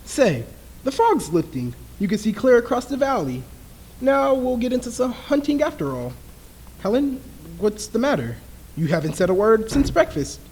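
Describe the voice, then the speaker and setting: A man playing a smooth, menacing husband in an old-time radio thriller, outwardly soothing and patronizing. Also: very high-pitched, very animated, normal speed, darkish tone, slight background noise, heavily acted, poor audio. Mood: toying, menacing. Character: smooth, menacing husband toying with his frightened wife.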